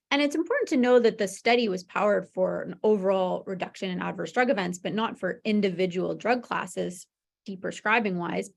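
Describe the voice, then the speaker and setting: Woman presenting research, slightly creaky voice. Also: mid-range voice. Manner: informal explaining with frequent "you know" and "right?" check-ins. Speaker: medical researcher presenting a study. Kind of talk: webinar research presentation, conversational explaining.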